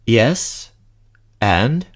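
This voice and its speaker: A man playing a male dark elf general, composed, commanding and formally courtly, in a fantasy audio drama. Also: medium pitch, big pitch swings, drawn-out words, full character voice. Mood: businesslike.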